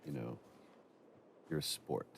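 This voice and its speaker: A man's voice: takes it slowly, a bit bright, a little hiss or hum. A man in casual, reflective commentary, appreciative of what he is watching. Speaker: commentary participant.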